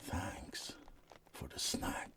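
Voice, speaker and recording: A man playing a suave, teasing vampire in a soft, often whispered bedside ASMR roleplay, murmuring with dramatic pauses. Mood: hypnotic, soothing.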